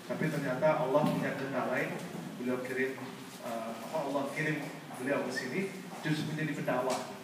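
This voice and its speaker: A man working as a live interpreter, paraphrasing quickly with frequent fillers. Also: medium pitch, steady tone. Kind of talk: live consecutive translation. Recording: echoey hall, far mic, loud noise.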